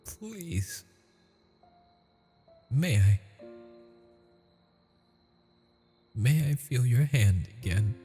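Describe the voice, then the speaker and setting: A man playing a lonely, tender ghost lover in a romantic ASMR roleplay, speaking haltingly and intimately in a breathy, husky voice. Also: medium pitch, sing-song, mellow. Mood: grieving, numb. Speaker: audio roleplay performer. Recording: long reverb with music behind.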